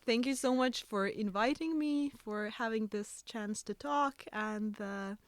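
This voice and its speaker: Young woman, Croatian accent, smooth resonant tone, eager researcher guest, dry recording. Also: on the high side, a little slow, no room sound. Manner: earnest, eager, slightly broken English phrasing. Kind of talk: casual interview talk.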